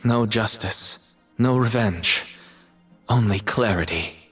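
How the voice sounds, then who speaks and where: A man playing a brooding, haunted artist mage in a fantasy video game, with a breathy, husky voice and solemn, poetic delivery. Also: fairly low pitch, slow pace, fairly bright tone, distant mic, full character voice. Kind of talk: video game character acting. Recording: game audio clips over music.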